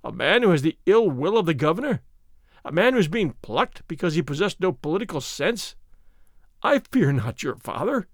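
A man's voice: fairly high pitch, very animated, normal speed, no room sound, clean studio sound. A man voicing an arrogant, pushy army captain in an audiobook, suave and menacing, with a commanding, sneering manner. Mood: insistent, sneering.

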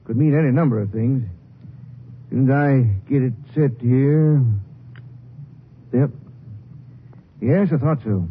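An actor playing a brisk, precise small-town medical examiner in a 1950s radio drama, speaking in a professional manner. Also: male voice, mid-range voice, breathy edge. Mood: businesslike. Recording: muffled old radio recording, faint noise.

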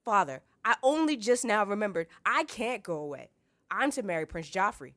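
A woman's voice: mid-range voice, very animated, moderate pace, a bit bright, voice pushed up. A woman voicing a petulant, lovestruck young noble girl from a novel, wailing dramatically and insistently. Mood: indignant. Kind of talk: acted audiobook-like reading from a novel.

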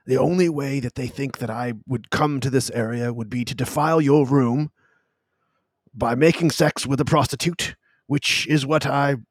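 A man's voice: mid-range voice, no echo, lightly acted. A man voicing a pompous, lecherous older male general who talks in a fussy, smarmy way and keeps saying "yes" and "my dear".

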